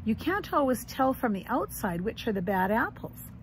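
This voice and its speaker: A middle-aged woman voicing a caring, patient storybook mother bear who gently explains and reassures. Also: a little high, sing-song, normal speed, slight background noise. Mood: patient, reassuring.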